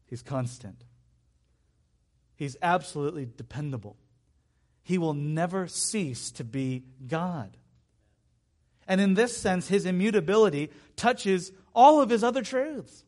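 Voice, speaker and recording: Male preacher, thirties. High-pitched voice, emphatic sermon delivery with repeated phrases and chatty asides. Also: lively intonation, measured pace, pauses often, darkish tone. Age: 30s.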